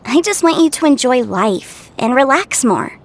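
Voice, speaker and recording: An actress playing a caring, lively older sister with a brisk way of talking. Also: female voice, sits high, slight background noise. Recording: game soundtrack behind.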